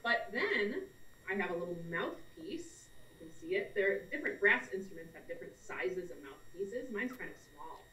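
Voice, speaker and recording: A woman's voice: medium pitch, very animated, moderate pace, bright, forceful tone. A woman in her thirties presenting her instrument to children as a musician, in a friendly show-and-tell style. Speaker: French horn player presenting her instrument. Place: small home room with a piano. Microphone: webcam at a short distance. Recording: roomy, distant webcam sound.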